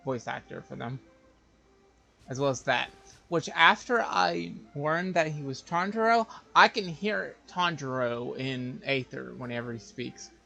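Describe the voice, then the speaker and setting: A man's voice: fairly high pitch, gravelly, pushed and bright. A man livestreaming a video game, musing aloud in casual, hesitant commentary. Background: game music.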